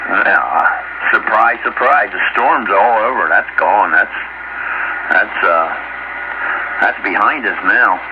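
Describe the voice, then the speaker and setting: A man's voice: fairly low pitch, expressive, slow pace, pauses often, dull audio. A folksy man musing and repeating himself in casual chat over a two-way radio. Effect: two-way radio transmission. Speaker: amateur radio operator. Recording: noisy, band-limited two-way radio.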